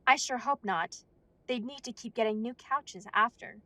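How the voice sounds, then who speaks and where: A woman's voice: fairly high pitch, moderate pace, pushed and bright, no echo. A woman playing a polite, businesslike female werewolf receptionist at a council chamber.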